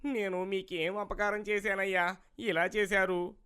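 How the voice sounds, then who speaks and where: A man acting a high-pitched, vegetable seller. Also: flat delivery, unhurried, pushed and bright, clear, resonant tone. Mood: aggrieved, pleading. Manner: rustic, colloquial, plaintive way of talking. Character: greedy, wheedling male village vegetable seller. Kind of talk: cartoon moral story character acting.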